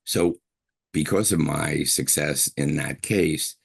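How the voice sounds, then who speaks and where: Older man, very deep voice, husky tone, chatty university lecture, often clears throat. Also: takes it slowly. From Brooklyn, New York. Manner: chatty, digressive, frequent throat clearing and fillers. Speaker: linguistics professor giving a lecture.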